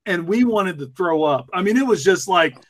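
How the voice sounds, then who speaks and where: A man's voice: sits high, expressive, moderate pace. A man on a podcast panel chatting casually in a jokey, rambling, emphatic way. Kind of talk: casual group chat.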